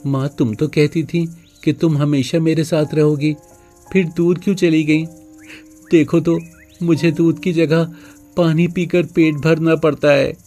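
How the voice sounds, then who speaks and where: Male actor as earnest little cartoon calf boy, raised pitch, mellow tone, slow, forgiving. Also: little pitch movement, faint music behind. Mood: sad, plaintive. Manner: earnest, plaintive. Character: young orphaned calf son, trusting, forgiving. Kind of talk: Hindi animated moral story acting.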